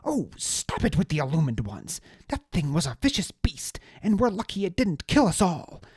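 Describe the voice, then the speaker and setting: A narrator doing a shrill, huffy pompous man. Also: sing-song, moderate pace, darkish tone, slightly rough, no room sound. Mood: indignant. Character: pompous, petulant male scribe-like noble, easily outraged.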